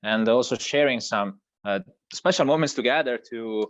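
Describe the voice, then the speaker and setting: An Italian-native man talks through his work at a moderate pitch, stopping and restarting his sentences often. The audio is dull and lo-fi, with no room sound at all. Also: moderate pace, dry recording. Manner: hesitant, many fillers and restarts. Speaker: crypto project co-founder guest. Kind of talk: online video interview.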